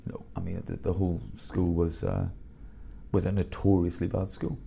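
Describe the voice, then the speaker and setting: Gravelly, blunt headmaster, around fifty. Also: male voice, low voice, normal speed, slight background noise. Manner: blunt, animated storyteller with bursts of laughter. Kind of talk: candid conversational interview.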